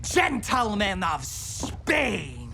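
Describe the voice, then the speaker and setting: Audio drama pirate: theatrical swaggerer, very drawn-out taunts, lively pitch. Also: male voice, gravelly, background noise, exaggerated voice. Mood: taunting, grandiose. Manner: hammy, grandiose, drawn-out delivery.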